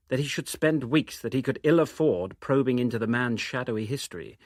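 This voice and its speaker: A man gives a measured, formal dramatic reading of literary narration. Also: fairly low pitch, no room sound, studio quality. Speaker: reader of novel extracts.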